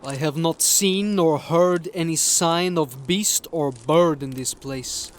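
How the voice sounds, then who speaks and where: A man voicing a brooding, superstitious Norse warrior in an audiobook, speaking slowly and gravely. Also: fairly high pitch. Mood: grim, weary. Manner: slow, grave. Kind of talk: audiobook character acting.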